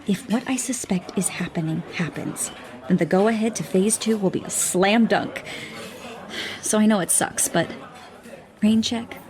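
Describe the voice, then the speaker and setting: A woman's voice: medium pitch, unhurried, darkish tone, lightly acted. Wry, overworked woman scientist, played by an actress. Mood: apologetic, earnest. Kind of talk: audio drama acting.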